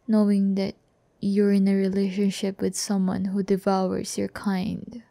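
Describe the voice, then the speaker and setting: A woman's voice: medium pitch, creaky, dark tone, dry recording. A woman playing a seductive, haughty yet tender demon in an ASMR roleplay, teasing and often near a whisper. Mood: guarded, intimate.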